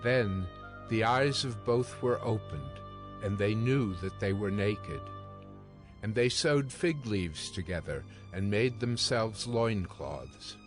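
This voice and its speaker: Man reading scripture as narrator, fairly deep voice, music playing behind. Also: animated, slow.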